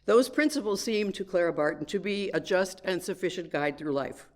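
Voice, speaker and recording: A woman giving a children's message at church, telling a story in measured, clearly phrased sentences to a congregation. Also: on the low side, expressive.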